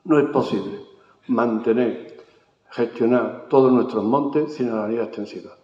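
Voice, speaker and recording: A man's voice: mid-range voice, moderate pace, dark tone. A man moderating a round-table talk, firm and emphatic. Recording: echoey hall, dull sound.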